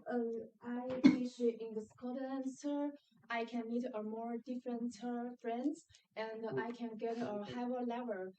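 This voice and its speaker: Female student from China, higher mellow voice, off-mic, muffled. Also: little pitch movement, unhurried, slight background noise. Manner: hesitant self-introduction. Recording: muffled, distant classroom audio.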